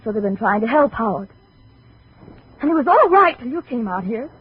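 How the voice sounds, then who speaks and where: A woman's voice: a little high, sing-song, moderate pace, husky, full character voice. An American actress playing a desperate, pleading, stubborn young woman in a 1950s radio drama. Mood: agitated, defensive. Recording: muffled, phone-like old radio recording.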